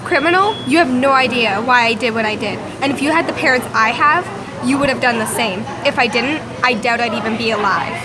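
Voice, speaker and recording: A woman's voice: a little high, a little fast, a crowd in the background, close to an everyday voice. A woman playing a defensive young female criminal in an amateur radio play.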